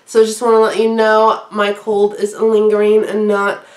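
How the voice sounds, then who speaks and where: A woman's voice: medium pitch, completely flat delivery, normal speed, darkish tone, echoey room. A young woman in her twenties with a stuffy, nasal cold, chatting casually and laughing often while she rambles through a review. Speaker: YouTuber.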